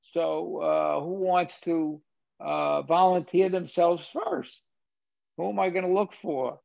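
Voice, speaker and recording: A man's voice: on the high side, takes it slowly, creaky, no echo. An older man moderating a film discussion over a video call, hesitant with many fillers and restarts. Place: home theater room. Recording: dull dry video-call audio.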